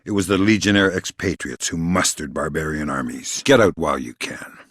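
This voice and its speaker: A middle-aged video game operative with a very deep, husky, gravelly voice. He is cool and sardonic, delivering threats in a dry deadpan. The sound is close and dry, with no room on it. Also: moderate pace, no echo. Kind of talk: video game voice acting, isolated dialogue lines. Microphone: close studio microphone, dry.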